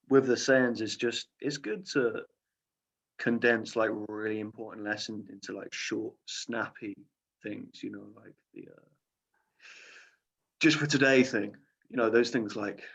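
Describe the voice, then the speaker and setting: A man's voice: medium pitch, gravelly, fairly bright tone, slightly rough, highs cut off. A young man speaking as a podcast guest, hesitant and rambling with lots of fillers. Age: young adult. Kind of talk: casual interview.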